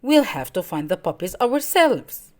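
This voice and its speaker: A woman's voice: mid-range voice, very animated, unhurried. A woman voicing an earnest, determined father Dalmatian in a children's storybook. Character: resolute male Dalmatian father dog.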